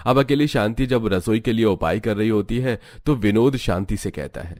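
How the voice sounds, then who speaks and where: A man's voice: fairly low pitch, moderate pace, a little mellow, no room sound. A man reading scripted story narration for a cartoon. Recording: background music.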